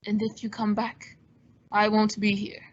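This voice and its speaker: A woman playing a cool, shrewd gentleman sleuth with a calm, wry manner in an old-time radio drama. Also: medium pitch, measured pace, close to an everyday voice. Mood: calm, assured. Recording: dull, muffled lo-fi audio.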